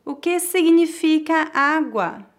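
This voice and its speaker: A woman with a Brazilian Portuguese accent teaching a pronunciation lesson, speaking with clear, deliberate enunciation for learners to repeat. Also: sits high, expressive.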